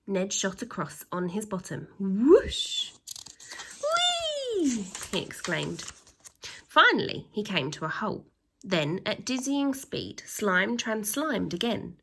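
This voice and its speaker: A woman reading a children's story aloud like a teacher, with expressive, drawn-out emphasis and acted sound effects. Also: mid-range voice, creaky, a little hiss or hum.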